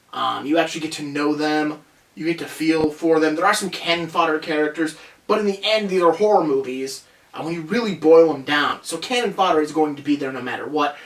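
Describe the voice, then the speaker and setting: A man hosting a casual countdown review video, rambling and chatty as he corrects himself along the way. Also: fairly high pitch. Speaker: host of a movie review video. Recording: roomy echo, distant from microphone.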